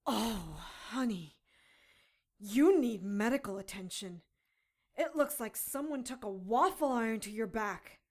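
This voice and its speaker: A woman's voice: fairly high pitch, lively intonation, unhurried, a little mellow. An actress playing a brash, defiant captive witch in an audio drama, sassy and emphatic. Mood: concerned, indignant. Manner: emphatic, hot-headed, sassy.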